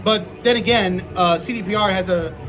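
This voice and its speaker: Opinionated high-voiced man ranting about games. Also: normal speed, over music. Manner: opinionated, swears, repeats points. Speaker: co-host. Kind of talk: casual gaming chat.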